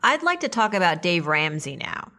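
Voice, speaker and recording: A woman's voice: fairly low pitch, very animated, moderate pace, sharp tone. A woman hosting a podcast, talking with her guest in a conversational interview style.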